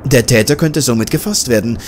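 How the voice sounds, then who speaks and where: A man's voice: mid-range voice, brisk, slight background noise, natural voice. A man voicing a curious male journalist in a self-made audiobook, asking probing questions with slight hesitations. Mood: questioning. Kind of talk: audiobook character voice.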